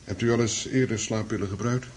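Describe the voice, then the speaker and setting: A man acts a busy family doctor in a radio drama. His voice is fairly low and his delivery brisk and businesslike, over faint recording noise. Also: fairly even intonation, natural voice. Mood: matter-of-fact. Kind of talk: Dutch radio play acting.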